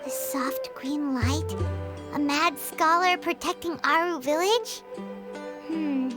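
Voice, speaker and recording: A woman voicing a small childlike fairy companion in a video game, squeaky, excitable and emphatic. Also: takes it slowly. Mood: curious. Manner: refers to herself by name, emphatic exclamations. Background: background music.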